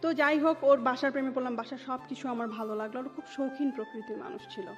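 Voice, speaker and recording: High-voiced Bangladeshi woman telling her story. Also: steady tone, quick pace. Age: 30s. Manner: mixes English words into Bengali. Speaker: interview guest. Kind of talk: personal life storytelling in conversation. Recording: dull lo-fi audio with music behind. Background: music.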